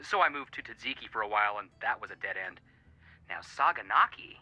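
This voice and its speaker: An actor playing an earnest, excitable male detective friend with a punning streak in a video game, dramatic, heard through a phone filter. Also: lowish voice, fairly quick, no room sound, muffled recording, exaggerated voice. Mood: earnest, enthusiastic.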